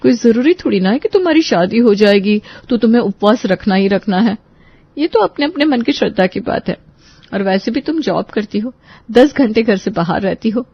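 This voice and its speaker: A woman voicing a caring, sensible elder sister in a family audio drama, reassuring and gently scolding, slightly dull sound. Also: fairly high pitch, animated, moderate pace, breathy edge, no room sound.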